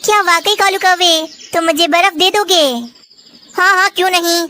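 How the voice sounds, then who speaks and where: Woman voicing a very high-pitched scheming cartoon crow. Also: steady tone, moderate pace, pushed and bright. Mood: sweetly persuasive. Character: scheming, falsely kind crow and other talking cartoon birds. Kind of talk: Hindi children's cartoon dialogue.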